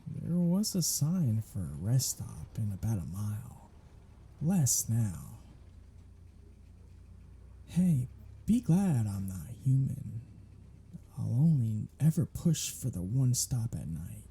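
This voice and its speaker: A man's voice: mid-range voice, very animated, slow, long pauses, dark tone, husky, full character voice. A man voicing a sardonic, flirtatious male vampire held captive on a road trip, in an ASMR audio drama. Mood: teasing. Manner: teasing, sardonic, flirtatious banter.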